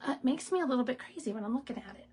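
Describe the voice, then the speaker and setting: A woman hosting a live stamping demo, raspy and clearing her throat from asthma, chatting in a rambling way with laughs and asides. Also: high-pitched, expressive, racing, mellow, slight background noise. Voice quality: gravelly, husky. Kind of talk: casual live craft demo chat.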